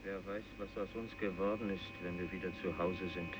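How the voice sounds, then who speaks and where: A man's voice: sits low, steady tone, brisk, natural voice. An actor playing a wounded, bitter fisherman, bleak and doubting, in a 1950s German radio drama. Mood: despairing. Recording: distant, muffled old radio recording, faint noise.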